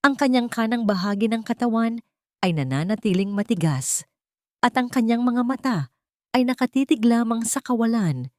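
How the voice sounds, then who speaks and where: A woman with a Filipino accent reads a dramatic story in a solemn, measured way. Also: fairly high pitch, lively intonation, darkish tone, no echo, studio quality. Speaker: audiobook narrator. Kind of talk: dramatic scripted storytelling narration.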